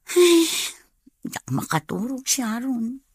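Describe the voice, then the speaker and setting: High-voiced lovestruck young Ilocano woman. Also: big pitch swings, takes it slowly, a little mellow, husky, no room sound, studio quality, exaggerated voice. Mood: plaintive. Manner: dramatic, sighing and giggly. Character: lovestruck, chatty young working woman with a crush. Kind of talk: Ilocano radio comedy-drama acting.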